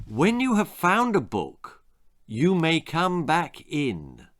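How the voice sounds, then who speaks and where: A snappish storybook mum, played by a male reader, worn thin by her small son. She scolds curtly and firmly in a raised pitch with lively swoops. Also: takes it slowly, exaggerated voice. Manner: curt, scolding, firm. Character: snappish, exasperated mother of a small boy.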